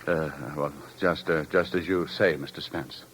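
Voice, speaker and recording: An actor playing a wry, easygoing private detective with glib, hesitant delivery in 1950s radio drama style. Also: male voice, very deep voice, steady tone, unhurried, fairly bright tone, a little hiss or hum.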